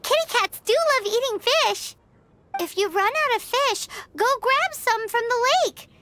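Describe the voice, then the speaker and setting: A woman voicing a tiny, childlike floating fairy girl companion in an anime fantasy game, squeaky and chirpy, with music behind her. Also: animated, a little slow, sharp tone, slight background noise, full character voice. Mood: instructive. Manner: chirpy, refers to self in third person. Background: background music.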